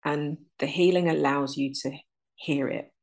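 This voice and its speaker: A woman's voice: deep voice, steady tone. A woman, talking earnestly and unhurriedly as an interview guest. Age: 30s. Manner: earnest, unhurried, lists things in runs. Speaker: guest, energy alignment teacher. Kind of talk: reflective interview talk.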